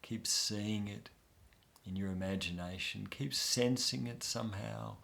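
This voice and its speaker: A man guiding a soft, soothing inner-child meditation with long pauses. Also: fairly low pitch, steady tone, gravelly. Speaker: meditation guide.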